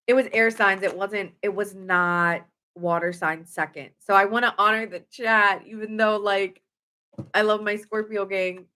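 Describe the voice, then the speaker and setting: A woman reads tarot in a loose, chatty livestream manner, full of fillers and quick self-corrections. Her voice is bright and forward at a middling pitch, with a stuffy nose coloring it. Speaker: tarot reader. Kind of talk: casual livestream tarot reading.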